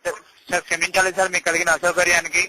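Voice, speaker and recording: A man's voice: high-pitched, monotone, racing. A man working as a customer care agent on a phone call, speaking in polite, apologetic, scripted service phrases. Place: telephone call. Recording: noisy phone call recording.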